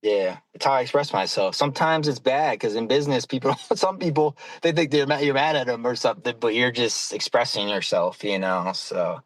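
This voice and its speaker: A man's voice: mid-range voice, fairly quick, hardly any pauses, no echo. A young man chatting casually as a podcast guest, earnest and rambling. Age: young adult. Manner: earnest, rambling, talks with his hands. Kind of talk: casual chat.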